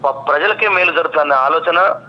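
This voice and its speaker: A man with a Telangana Telugu accent calls in to a live show by telephone, speaking haltingly and repeating words and phrases. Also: on the high side. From Nalgonda, Telangana, India. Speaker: phone-in caller. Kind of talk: live phone conversation. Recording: dull, noisy telephone line.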